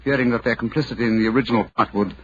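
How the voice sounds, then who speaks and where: An actor playing a brilliant, commanding British detective in a 1940s radio drama, with a clipped, theatrical delivery. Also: male voice, medium pitch, steady tone, very fast, close to an everyday voice. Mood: confident, excited. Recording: dull, noisy 1940s radio recording.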